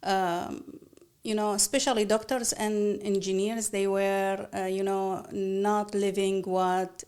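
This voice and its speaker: Halting woman guest. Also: medium pitch, level tone, clear, resonant tone. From Iraq. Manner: halting, many fillers, soft-spoken. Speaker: podcast guest. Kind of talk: personal story in an interview.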